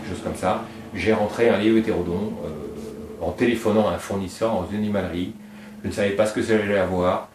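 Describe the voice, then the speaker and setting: A man's voice: low-pitched, fairly quick, darkish tone, a little hiss or hum. A middle-aged man from Réunion Island, a snake breeder, talking informally and at length, piling up details as he explains his animals. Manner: talkative, digressive, piles up details. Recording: roomy sound from a distance.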